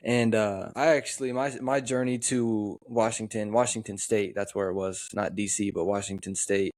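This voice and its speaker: A young man from the western United States co-hosts a podcast in a relaxed, easygoing manner. He often stops on "um". His voice sits low, and the recording is dry and close. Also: no room sound. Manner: relaxed, easygoing, many "um" pauses. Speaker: podcast co-host. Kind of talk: casual chat.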